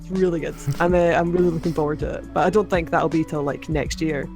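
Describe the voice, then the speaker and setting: A young woman with a mid-range Scottish accent, chatting casually as a podcast guest, quick to laugh and restart her sentences. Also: sits low, fairly even intonation, darkish tone, soft background music. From Scotland. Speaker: podcast guest, anime video creator. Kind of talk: casual chat.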